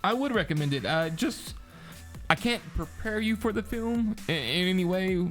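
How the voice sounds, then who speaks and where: A man's voice: sits high, expressive, moderate pace, soft background music. A man co-hosting a film review as a casual chat, with informal, slangy phrasing.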